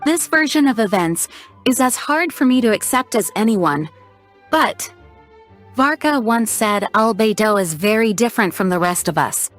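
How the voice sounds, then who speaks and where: A woman playing a dutiful young knight commander in a video game, measured, formal and polite. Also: a little high, expressive, faint music behind, natural voice. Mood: grave. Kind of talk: English video game voice acting.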